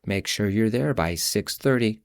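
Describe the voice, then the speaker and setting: A man reading his own novel aloud in a measured, reflective first-person storytelling voice. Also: lowish voice, expressive. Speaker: audiobook narrator and author. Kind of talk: first-person audiobook narration.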